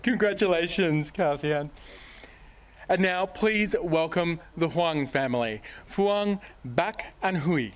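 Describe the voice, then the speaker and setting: A man announcing names at an outdoor ceremony, speaking in a measured, welcoming way. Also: fairly high pitch. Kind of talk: formal announcing. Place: outdoors by a lake.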